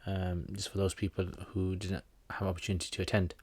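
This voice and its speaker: A man with a fairly low voice hosts a livestream, answering viewer comments in a relaxed, joking, meandering way. The sound is dry and close, with no room around it. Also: fairly quick, dry recording. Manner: jokey, rambling, with slangy asides. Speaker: Islamic teacher hosting a livestream Q&A.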